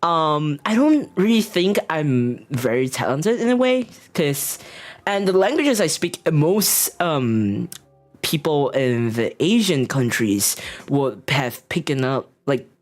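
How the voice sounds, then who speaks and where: A woman's voice: low-pitched, sing-song, slow. A young woman VTuber chatting casually with her live chat. She giggles often, talks with many fillers and restarts, and sounds self-conscious. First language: Mandarin. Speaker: VTuber streamer.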